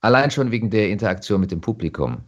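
A man chatting casually as an actor being interviewed, telling stories in an animated way. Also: lowish voice, fast talker, highs cut off.

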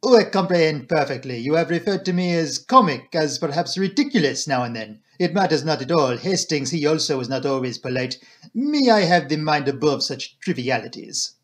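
A man's voice: on the high side, animated, brisk, lightly acted. A man voicing a fussy, proud, courteous detective in an audiobook, with a French accent and formal, theatrical phrasing. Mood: gently teasing.

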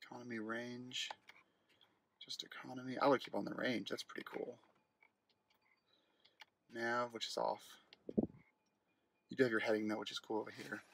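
A man's voice: mid-range voice, flat delivery, moderate pace, vocal fry, pauses often, fairly bright tone, slight background noise. A man giving a casual car walkaround on a dealership lot, thinking aloud with fillers and restarts, recorded on a handheld phone. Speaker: car vlogger. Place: outdoors at a car dealership lot, then inside a car. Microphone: handheld phone camera.

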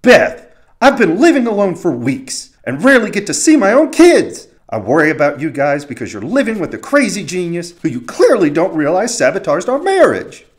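A man's voice: high voice, huge pitch swings, normal speed, heavily acted. A man playing an insecure, resentful divorced father in a cartoon audio drama, whiny and melodramatic. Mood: indignant.

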